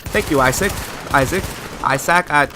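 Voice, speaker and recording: A man's voice: fairly high pitch, moderate pace, background noise. A man giving post-recorded commentary over gameplay, chatty and rambling, often asking viewers questions. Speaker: let's play host.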